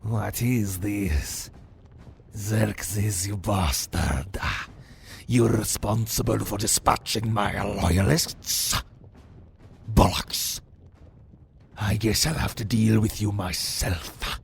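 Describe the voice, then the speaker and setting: Male actor as contemptuous greater imp, heavy fry, drawn-out theatrical disdain, fantasy roleplay over faint noisy ambience. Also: on the low side, full character voice. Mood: angry, scornful. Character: proud, contemptuous rival male imp of pride, defiant. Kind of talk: ASMR fantasy roleplay acting.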